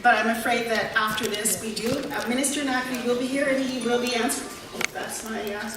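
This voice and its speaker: A woman's voice: a little high, fairly even intonation, a little fast, far from the mic. Courteous woman moderating in an echoey hall. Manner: courteous and thankful, keeps the session moving. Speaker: moderator of a public meeting. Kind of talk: moderating a question session. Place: large echoey meeting room. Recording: echoey hall audio from afar with noise.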